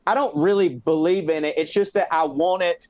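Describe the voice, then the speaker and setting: A man with a Southern US accent speaks in a fairly high register. He co-hosts a comedy podcast, bantering casually with folksy phrasing and plenty of swearing. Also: a little fast, darkish tone. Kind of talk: casual comic chat.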